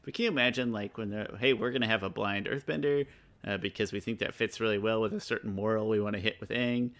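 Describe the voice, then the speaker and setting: Low-voiced man musing in casual chat. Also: fairly even intonation, fast, a bit bright. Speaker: podcast co-host.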